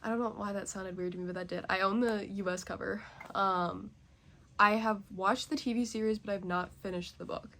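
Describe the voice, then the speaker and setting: A woman's voice: mid-range voice, steady tone, fairly quick, fairly bright tone. A young woman chatting casually as a book vlogger, nasally congested and rambling with many fillers. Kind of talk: casual chat.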